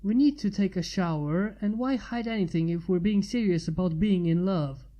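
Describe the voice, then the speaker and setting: A woman's voice: medium pitch, normal speed, warm tone, lo-fi sound, lightly acted. A woman voicing a tender, teasing, easygoing man in a story reading.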